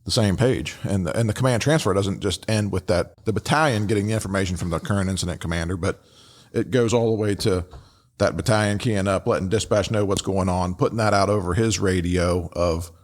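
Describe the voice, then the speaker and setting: A man hosting a podcast with casual, unscripted commentary, speaking haltingly and often repeating his words. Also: sits low. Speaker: podcast host.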